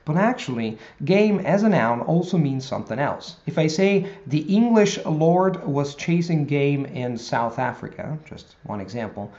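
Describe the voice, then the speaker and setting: A man's voice: a little high, animated, darkish tone, highs cut off. Man teaching vocabulary tips. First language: Italian. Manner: talks straight to viewers, measured explaining with pauses. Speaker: language teacher. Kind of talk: video lesson to camera.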